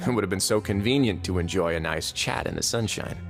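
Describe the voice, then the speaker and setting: Suave wry young duke. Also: male voice, low voice, fast, music in the background. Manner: polished, calm, gently wry. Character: suave courteous young prison-warden duke. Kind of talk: video game cutscene dialogue.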